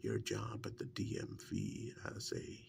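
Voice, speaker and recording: A man plays an intimate, teasing male partner in a soft ASMR role-play, reminiscing fondly. The voice is a half-whisper that breaks into heavy gravelly fry. He talks slowly and haltingly, as if confiding in one person. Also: rumbling low, completely flat delivery, exaggerated voice.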